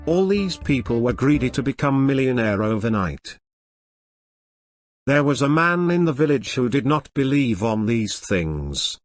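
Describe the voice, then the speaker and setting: A man's voice: medium pitch, no room sound. A man narrating a scripted moral story for a cartoon. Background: faint music.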